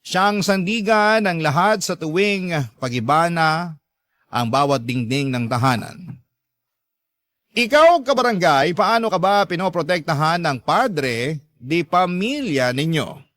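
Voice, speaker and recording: A man with a Filipino accent hosting and narrating a scripted dramatic story in a measured, sentimental way. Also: a little high, expressive, a bit bright, no echo. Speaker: storytelling host. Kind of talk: scripted dramatic narration, reading a listener's letter.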